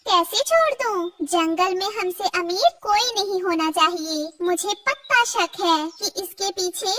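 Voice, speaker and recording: A woman's voice: extremely high pitch, animated, unhurried, bright, forceful tone, slight background noise, heavily acted. A woman voicing a rich, haughty, jealous female crow in a cartoon, scornful and suspicious. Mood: jealous suspicion.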